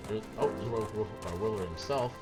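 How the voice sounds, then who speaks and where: A man's voice: lowish voice, brisk. A man streaming a video game, giving casual, wry commentary as he thinks aloud. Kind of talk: casual live gameplay commentary. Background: game music.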